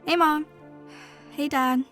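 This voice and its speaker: Casting: anxious, sarcastic teen girl played by a woman, audio drama, high forceful voice. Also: fairly even intonation, slow, soft background music. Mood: casual, sullen. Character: anxious thirteen-year-old suburban girl, sarcastic and headstrong.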